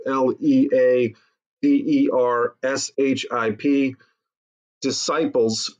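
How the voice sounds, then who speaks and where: A man guest-hosting a podcast, asking friendly questions with some hesitation. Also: mid-range voice. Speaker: guest host. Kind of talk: casual interview.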